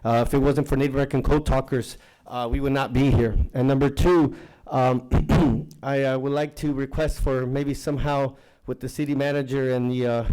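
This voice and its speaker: Mellow-voiced man, rambling public comment. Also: medium pitch, low-quality recording. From Anaheim, California. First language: Nahuatl. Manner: rambling, halting, many fillers. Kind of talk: public comment at a council meeting.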